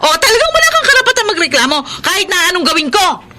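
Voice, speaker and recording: A woman's voice: very high voice, big pitch swings, quick pace, background noise. A woman playing a hostile, domineering older sister-in-law in a radio drama, scolding and contemptuous. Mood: angry, taunting. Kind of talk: Filipino radio drama acting.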